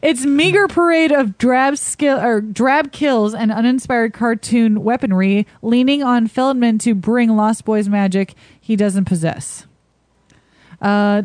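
A woman co-hosting a podcast who reads entries from a list in a brisk, wry way. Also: on the high side, darkish tone, no room sound. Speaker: podcast co-host reading a list. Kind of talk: casual chat and reading from a list.

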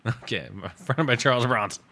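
A man's voice: mid-range voice, expressive, moderate pace, sharp tone. A man co-hosting a casual podcast, blunt and profane, laughing often. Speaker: podcast co-host.

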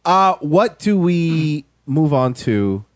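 A man's voice: fairly high pitch, big pitch swings, slow. A man co-hosting a podcast, talking in casual, teasing banter that easily turns exasperated. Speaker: podcast co-host.

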